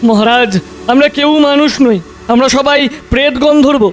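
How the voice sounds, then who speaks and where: Man as a shrill-high, blunt ghostly attendant. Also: normal speed. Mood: frank. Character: blunt male ghostly gandharva attendant serving a king. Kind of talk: cartoon voice acting.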